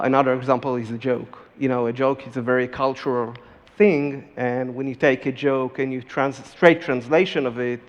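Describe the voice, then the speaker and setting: Halting middle-aged man in a hall. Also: mid-range voice. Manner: halting, repeats words as he restarts phrases. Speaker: startup CEO panelist. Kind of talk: conference panel discussion. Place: large conference stage. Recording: reverberant hall, dull lo-fi sound.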